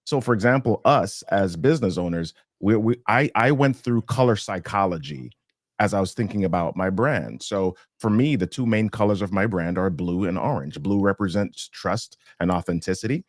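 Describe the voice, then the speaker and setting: A man's voice: deep voice, animated, moderate pace, no room sound, clean studio sound. A man, a guest business owner, explaining a point on a live panel and often checking in with "right?". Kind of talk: panel discussion. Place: home studio with accent lighting.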